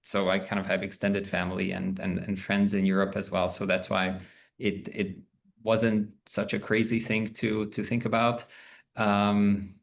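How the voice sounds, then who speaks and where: A man in his thirties, from Canada, speaking as a professor on an online alumni panel, halting and laughing between thoughts. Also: on the low side, little pitch movement, vocal fry. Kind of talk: casual panel talk. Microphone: video call.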